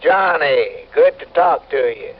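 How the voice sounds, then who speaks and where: An actor playing a genial, folksy fishing-resort pal heard over the telephone in an old radio drama, hearty and easygoing. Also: male voice, on the high side, animated, unhurried, lo-fi sound, slight background noise. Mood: friendly. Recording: thin phone sound on old radio.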